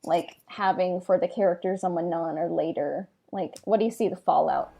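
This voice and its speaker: A woman's voice: fairly low pitch, normal speed, gravelly, dark tone. A woman co-hosting a casual podcast discussion, informal and thinking aloud in fragments. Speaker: podcast co-host.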